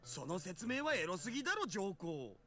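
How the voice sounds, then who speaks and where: An actor playing a cheeky, lecherous young otaku hacker in a visual novel game. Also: male voice, high-pitched, very animated, fast talker, a bit bright, faint music behind, heavily acted. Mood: teasing. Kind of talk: Japanese visual novel voice acting.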